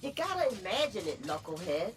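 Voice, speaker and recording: An actress playing a blunt, impatient, sarcastic mercenary in a fantasy video game. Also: female voice, on the low side, very animated, fairly quick, slight background noise.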